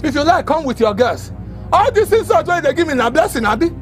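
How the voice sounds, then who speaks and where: High-pitched, ranting Nigerian tenant played by a man. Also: big pitch swings, quick pace, faint music behind. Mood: defiant, scornful. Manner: loud, taunting, repetitive ranting. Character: brash, mouthy male tenant, drinking and defiant. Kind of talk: Pidgin comedy drama acting.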